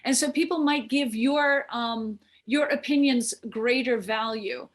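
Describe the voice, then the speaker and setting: A woman's voice: fairly high pitch, a little slow. A woman facilitating an online workshop discussion from a room on a video call. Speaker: workshop leader.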